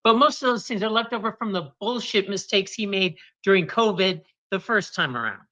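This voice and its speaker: A woman's voice: medium pitch, fairly quick, bright, forceful tone. A woman co-hosting a casual talk show, speaking in an impassioned, indignant way. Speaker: co-host. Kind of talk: casual chat. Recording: slightly dull lo-fi recording.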